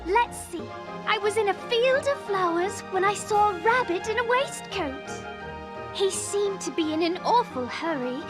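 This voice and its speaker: A gentle, earnest young girl from a storybook game. Her extremely high voice is courteous and prim, taking its time over prominent music. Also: expressive, measured pace. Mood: recollecting. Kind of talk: animated game voice acting.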